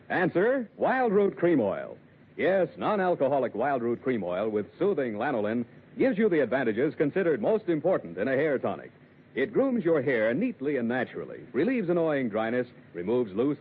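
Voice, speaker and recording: Animated American male announcer, tinny old radio. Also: mid-range voice, husky. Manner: polished, emphatic. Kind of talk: 1940s scripted commercial announcing.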